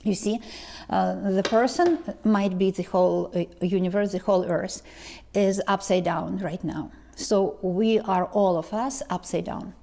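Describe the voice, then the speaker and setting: A woman, talking slowly to camera as a tarot reader, pausing and restarting often. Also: medium pitch, vocal fry, mellow. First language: Ukrainian or Russian. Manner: slow, halting, many restarts and ums. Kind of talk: casual vlog talk to camera. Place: living room indoors. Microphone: lapel mic.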